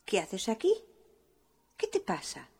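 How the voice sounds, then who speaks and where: Man doing a timid little girl, soft and high, slow, lively pitch, noisy recording. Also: creaky, slightly rough, exaggerated voice. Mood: concerned. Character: tiny polite girl, gentle and timid.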